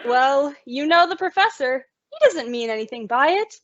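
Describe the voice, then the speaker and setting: A woman's voice: high voice, lively intonation, normal speed, some chatter behind, slight background noise. A woman playing a sensible young woman in a radio comedy, a wry and diplomatic peacemaker. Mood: polite. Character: sensible young working girl, exasperated peacemaker. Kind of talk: old-time radio comedy acting. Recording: dull lo-fi recording.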